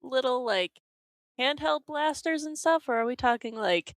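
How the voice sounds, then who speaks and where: A woman's voice: sits high, expressive, moderate pace, no echo. A woman playing a tabletop game, asking questions in a chatty way. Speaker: player. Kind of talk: casual tabletop play.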